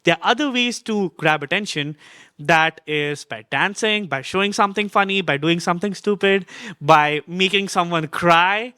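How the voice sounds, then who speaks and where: A man speaking on a live panel in a playful, jokey and energetic way. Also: high-pitched, moderate pace, smooth, no echo, studio quality. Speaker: panelist, video marketer. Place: convention stage.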